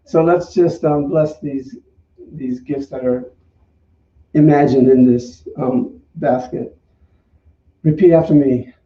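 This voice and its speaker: A male minister giving an unscripted offering talk and leading affirmations, speaking reflectively and haltingly with pauses. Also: mid-range voice, fairly even intonation, mellow. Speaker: minister leading an online service. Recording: dull, echoey, far from the mic.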